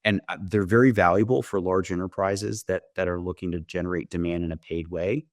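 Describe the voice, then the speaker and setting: Low voiced guy, startup founder walking thru his software, chatty pitch, says right? a lot, dry close sound. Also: fairly even intonation, a little fast, dry recording. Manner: explanatory, often tags points with "right?". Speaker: company founder demonstrating software, interview guest. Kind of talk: conversational sales pitch explanation.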